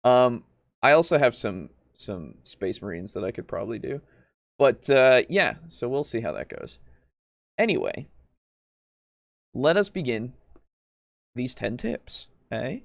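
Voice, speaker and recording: Animated, creaky-voiced man chatting on stream. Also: mid-range voice, no room sound. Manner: halting, self-deprecating, jokey asides. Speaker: host of a tabletop roleplaying livestream. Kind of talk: casual livestream chat.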